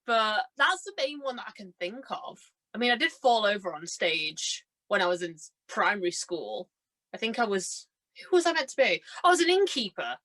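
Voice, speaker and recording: A young woman with a bright, forward voice at an ordinary female pitch. She chats casually and tells anecdotes in a giggly, self-deprecating way, slipping into little bits of acted-out dialogue as she goes. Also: moderate pace. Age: young adult. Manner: chatty, animated storyteller who acts out reported dialogue. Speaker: podcast guest, stage and radio drama performer. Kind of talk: casual chat, telling anecdotes.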